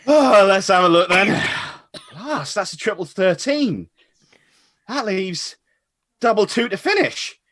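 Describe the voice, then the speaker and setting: A man's voice: high-pitched, expressive, takes it slowly, pauses often, a bit bright, exaggerated voice. A man playing a good-natured, bantering pub regular in a radio comedy. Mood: easygoing. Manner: bantering, chuckly. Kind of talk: radio comedy play reading.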